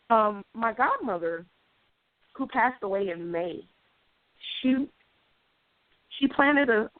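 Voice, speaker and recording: A woman's voice: fairly high pitch, no room sound. A woman life coach speaking as a radio guest, earnest and hesitant, with many ums. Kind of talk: casual interview chat. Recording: dry, tinny phone-line sound.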